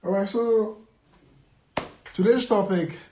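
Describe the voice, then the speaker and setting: A man's voice: high voice, slow, dark tone, a little hiss or hum. A young man from Ghana with a Ghanaian accent, chatting casually to camera as a vlogger, rambling and repeating himself with many fillers. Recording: echoey room.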